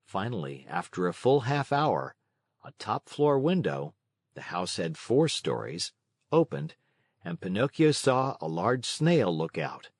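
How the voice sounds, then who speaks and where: Male children's story narrator: lively intonation, measured pace, scripted read-along delivery. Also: fairly low pitch, no echo, studio quality.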